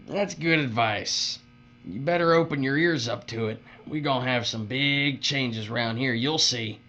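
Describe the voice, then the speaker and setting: Man playing a sharp-voiced folksy bully foreman. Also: medium pitch, a little slow, soft background music. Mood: menacing. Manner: folksy, drawling turns of phrase, mocking. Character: cruel, folksy, boastful bullying foreman who fawns on bosses. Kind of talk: dark dystopian audio drama acting. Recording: dull audio with faint mains hum.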